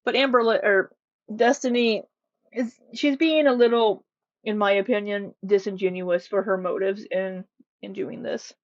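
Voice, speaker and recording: A woman's voice: medium pitch, fairly even intonation, moderate pace, dry recording. Woman commentator, dry slightly dull sound. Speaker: commentary channel host. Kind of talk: casual opinion commentary.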